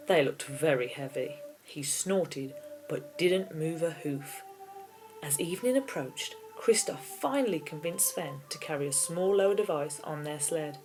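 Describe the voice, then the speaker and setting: A woman's voice: low-pitched, unhurried, rough recording. A woman narrating a children's novel aloud in a storybook reading style, as an audiobook reader. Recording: keyboard music behind the voice. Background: keyboard music.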